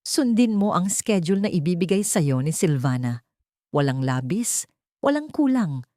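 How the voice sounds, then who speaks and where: A woman voicing a stern, emotionless wealthy male sculptor giving measured orders in an audiobook. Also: lowish voice, very animated, mellow, no echo, clean studio sound. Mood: cold, stern. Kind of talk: audiobook character dialogue.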